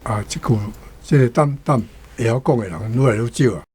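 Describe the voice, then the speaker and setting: A man speaking as a guest, explaining the meaning and pronunciation of a word in an unhurried way. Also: mid-range voice, a little mellow, breathy edge. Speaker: guest commentator on language. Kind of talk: explanatory talk.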